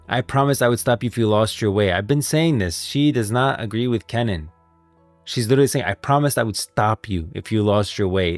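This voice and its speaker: A man's voice: fairly low pitch, dry recording. A man livestreaming casual, emphatic reaction commentary on game lore, often repeating himself. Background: faint music.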